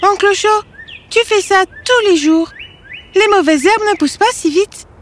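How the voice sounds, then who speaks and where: A woman voicing a curious, determined young ninja girl in an animated fairy tale. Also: very high-pitched, moderate pace, a little hiss or hum. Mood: questioning.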